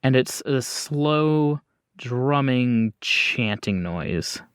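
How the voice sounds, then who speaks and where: A man narrates an improvised horror scene as game master. His medium-pitched voice is conversational, moving in pauses and bursts, with a very dry sound. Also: expressive, a bit bright, smooth, no room sound.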